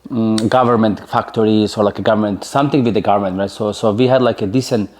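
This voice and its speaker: Slovenian-accented man in his forties, lowish voice, loose chatty storyteller who leans on "right?", interview guest. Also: steady tone, quick pace, darkish tone. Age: 40s. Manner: chatty, many fillers, keeps asking "right?". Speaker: interview guest, former soccer player.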